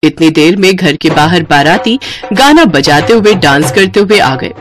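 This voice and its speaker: A woman's voice: fairly low pitch, lively intonation, a little slow, a little hiss or hum. A woman narrating a scripted moral story as a storyteller.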